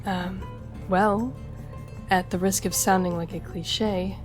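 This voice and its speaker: A woman's voice: medium pitch, steady tone, takes it slowly, lightly acted. A woman playing a charming, flirtatious gambler and stowaway in an intimate audio roleplay, teasing and conversational as she speaks to the listener. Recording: music behind the voice.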